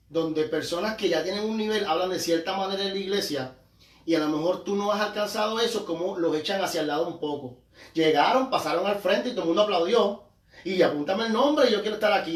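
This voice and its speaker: A man talks casually as the host of a discussion. He is heard in a very echoey room, at some distance from the microphone. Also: sits high.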